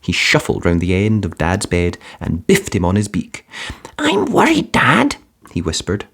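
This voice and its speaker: A man reading a children's picture book aloud in a gentle, expressive bedtime-story manner. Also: low voice, moderate pace.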